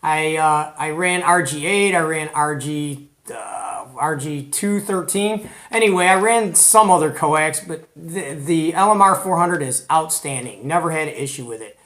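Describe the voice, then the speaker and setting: An American man hosting a hobby video in a casual, animated, colloquial way, retelling conversations as he goes. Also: a little high, a little slow. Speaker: host of a CB radio show. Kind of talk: casual hobby vlog presenting.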